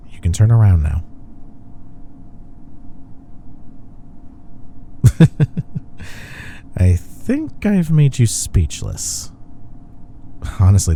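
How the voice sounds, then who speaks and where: A man's voice: deep voice, dark tone, a little hiss or hum. A young man acting as a smug, teasing office rival in an intimate roleplay audio. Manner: teasing, playful banter. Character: teasing, smug young male office rival softening toward his coworker.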